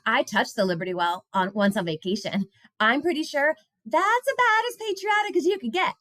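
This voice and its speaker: A woman's voice: sits high, expressive, moderate pace, sharp tone, no room sound, studio quality, voice pushed up. A woman voicing a smug, know-it-all little schoolgirl with an emphatic manner in a picture book.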